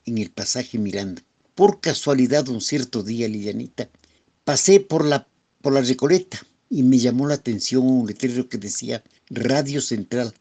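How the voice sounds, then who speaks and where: A man, a sound technician, recounts his memories in a formal, measured way. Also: mid-range voice, mellow.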